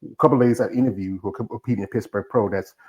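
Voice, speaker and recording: A man's voice: fairly low pitch, rapid-fire, darkish tone. A man hosting a casual interview who asks rambling, enthusiastic questions. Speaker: interview host. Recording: slightly dull, lo-fi audio.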